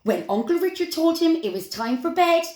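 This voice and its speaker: A woman's voice: very high-pitched, very animated, moderate pace, darkish tone. A woman reading a story aloud expressively for young children. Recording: roomy echo, clear background noise.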